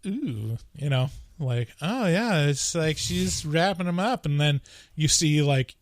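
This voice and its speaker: A man's voice: a little high, lively intonation, takes it slowly. A man in casual movie review chat, talking loosely with lots of "like" and "you know" and the odd laugh. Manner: chatty, frequent "like" and "you know", laughs between points.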